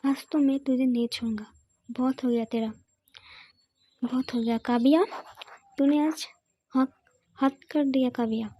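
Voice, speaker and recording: A woman's voice: high voice, fairly even intonation, a little slow, pauses often, mellow, a little hiss or hum. A woman voicing a stern young wife who scolds and complains about her sister-in-law in a story. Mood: scolding.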